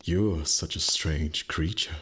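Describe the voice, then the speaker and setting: A man voicing an ancient, proud dragon, gruff but secretly tender, with haughty, teasing, formal speech in a roleplay ASMR performance. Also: a bass voice, takes it slowly, a bit bright, heavily acted. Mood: haughty, protective.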